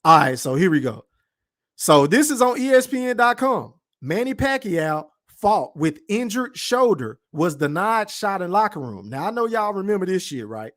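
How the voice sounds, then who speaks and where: A man's voice: high voice, very animated, moderate pace, a bit bright. A man from Dallas-Fort Worth with a Texan accent, hosting a podcast livestream, reading the chat and giving blunt, profane opinions. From Texas, Dallas-Fort Worth. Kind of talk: casual livestream rant.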